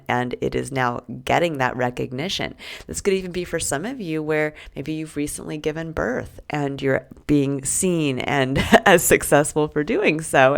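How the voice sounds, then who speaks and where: A woman reading tarot cards for the week ahead, talking gently and reflectively with soft asides; she is sick and losing her voice. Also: deep voice, vocal fry. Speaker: tarot reader. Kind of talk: weekly tarot reading to camera.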